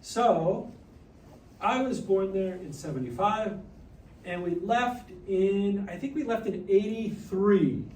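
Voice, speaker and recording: Slow-spoken man in his forties, echoey room. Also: high voice, a little mellow, slight background noise. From Hawaii, raised partly in New Jersey. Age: 40s. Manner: slow and careful, pausing often with "uh" and "so". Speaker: presenter introducing himself to a class. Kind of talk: slow, deliberate self-introduction with slides. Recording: echoey room, voice a bit far from the mic, faint music.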